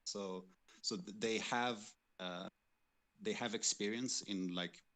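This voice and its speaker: A man's voice: lowish voice, fairly even intonation, takes it slowly, pushed and bright. A man co-hosting a livestream, talking informally and hesitantly as he recounts past work, repeating words while he searches. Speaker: livestream co-host and game developer.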